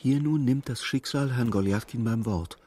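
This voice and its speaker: An actor playing a sober storyteller, narrating a literary radio play in a husky, measured, dramatic way. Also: male voice, mid-range voice, mellow, natural voice. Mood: hushed, suspenseful. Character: sober male storyteller following a troubled clerk.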